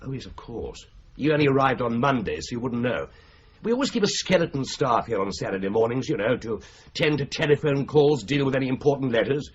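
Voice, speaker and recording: A husky, breezy office man. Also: mid-range voice, animated, brisk. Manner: breezy, casual. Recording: slightly dull old radio recording.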